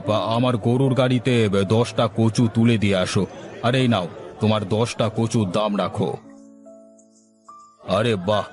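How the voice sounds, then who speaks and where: A man's voice: fairly low pitch, darkish tone, lightly acted. A man voicing a greedy, pompous middle-aged village landlord in a cartoon. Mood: bossy. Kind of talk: cartoon voice acting.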